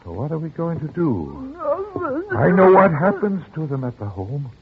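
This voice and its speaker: A man's voice: fairly high pitch, sing-song, narrow, tinny audio. Anxious older father, crackly old radio. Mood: distraught. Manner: nervous, halting, stammering, pleading. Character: anxious older father desperately protecting his son. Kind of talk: 1940s radio drama acting. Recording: crackly noisy band-limited old radio recording.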